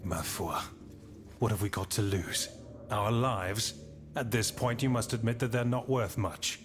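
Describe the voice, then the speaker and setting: A man's voice: on the low side, fairly even intonation, measured pace, close to an everyday voice. A man playing a shrewd, worldly French marquis and general in a video game, assured and formal. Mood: wry, resigned. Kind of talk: dramatic video game acting. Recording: music behind the voice.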